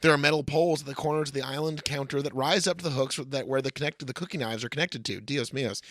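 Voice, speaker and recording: A man's voice: medium pitch, quick pace, a bit bright, no room sound, clean studio sound. A man co-hosting a comedy podcast, bantering and giving dramatic readings of a screenplay in a bossy, sarcastic, mock-earnest way. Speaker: podcast co-host.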